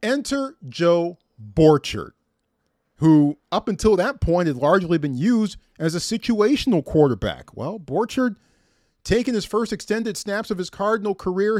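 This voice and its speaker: A middle-aged man gives conversational sports commentary in a fairly high voice. His delivery is animated and emphatic, rising and dropping as he tells the story, and the sound is dry and close. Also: dry recording. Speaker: sports podcast host.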